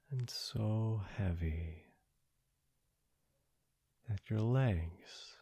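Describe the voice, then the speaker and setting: A man leading a guided sleep meditation in a soothing, gentle, hushed voice, breathy and often trailing into a near-whisper, with long pauses between short phrases. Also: fairly low pitch. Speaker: meditation guide, podcast host.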